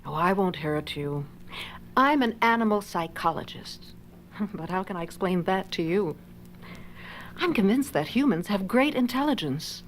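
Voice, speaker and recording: Casting: actress for inquisitive female chimp doctor in an audio drama, gentle and theatrical, wide swooping intonation. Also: mid-range voice, animated, unhurried, a little hiss or hum, lightly acted. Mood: curious, gentle. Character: kindly, curious female chimpanzee scientist. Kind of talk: 1970s audio drama acting.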